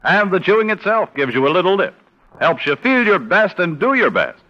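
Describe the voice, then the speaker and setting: An American man announcing and pitching a sponsor in upbeat, polished 1950s radio style. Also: big pitch swings, normal speed. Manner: upbeat, polished sales delivery. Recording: muffled vintage radio recording, faint music.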